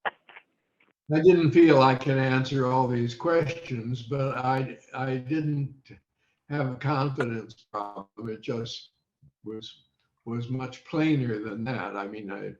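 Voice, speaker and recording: Older male interview guest, slow and halting, reminiscing; echoey room sound. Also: medium pitch. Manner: halting, deliberate. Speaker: interview guest, former child radio quiz contestant. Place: remote video call. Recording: dull, echoey video-call audio.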